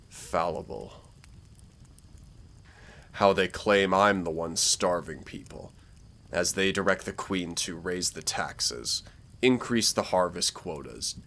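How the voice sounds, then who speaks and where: A man playing a menacing, smooth-talking dark warlord in a roleplay audio drama, theatrical, deliberate and condescending in his persuasion. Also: deep voice, full character voice. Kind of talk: scripted roleplay audio drama, villain monologue.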